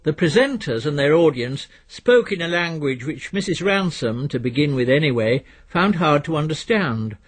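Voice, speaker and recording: A man reading literary fiction as a narrator, in a measured, precise and dry storytelling manner. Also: a little high, slightly rough. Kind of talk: scripted literary audiobook narration.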